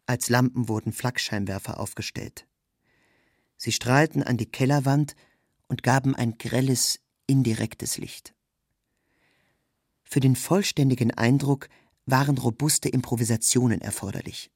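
A man reads a scripted literary narration in a measured, formal manner. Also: mid-range voice, fairly even intonation, creaky, a little mellow, breathy edge, dry recording, clean studio sound. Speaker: narrator, reader.

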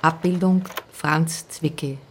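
Radio-play caption reader: low-voiced, factual, clipped, unhurried. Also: female voice, fairly even intonation, background noise. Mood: matter-of-fact. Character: matter-of-fact female reader of picture captions.

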